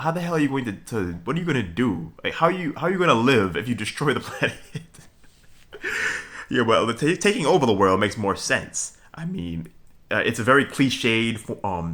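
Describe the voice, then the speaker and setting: Deep-voiced rambling Brooklyn guy. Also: fairly bright tone. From Brooklyn, New York. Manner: halting, many fillers and restarts, addresses viewers with "okay?"; chuckles between points. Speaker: vlogger. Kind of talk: rambling solo monologue.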